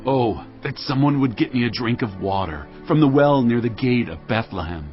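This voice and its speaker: A man acting a bold warrior king in a Bible audio drama. His delivery is declamatory and commanding, with big swings in pitch. The sound is slightly dulled, with music underneath. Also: animated, normal speed, highs cut off, lightly acted. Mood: longing.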